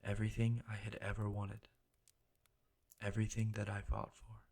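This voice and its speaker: A man playing a devoted, guilt-ridden knight in a fantasy ASMR roleplay, speaking formally and earnestly with a gravelly voice. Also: lowish voice, completely flat delivery, moderate pace, a little mellow. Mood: anguished, tender. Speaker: roleplay audio performer. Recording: roomy echo, faint noise, clicks.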